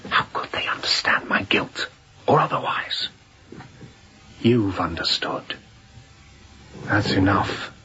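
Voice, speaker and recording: An actor playing a weary, defiant runaway seaman in hiding, in a radio drama, talking in a hushed, halting, intense way. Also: low-pitched, in a whisper. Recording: dull muffled audio with background noise.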